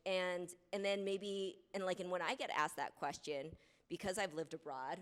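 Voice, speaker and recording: A woman's voice: on the low side, steady tone, normal speed, a bit bright, smooth. A woman who grew up in the U.S., speaking on a college panel in a conversational way and often checking in with "right?". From United States. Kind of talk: panel discussion. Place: college lecture hall.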